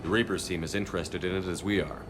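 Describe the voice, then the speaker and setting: An actor playing a steady, commanding space-navy commander in a video game, speaking in a direct, firm, measured way. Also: male voice, low voice, noisy background, natural voice. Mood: grave, gentle. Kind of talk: video game cutscene acting. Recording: loud game music behind the voice.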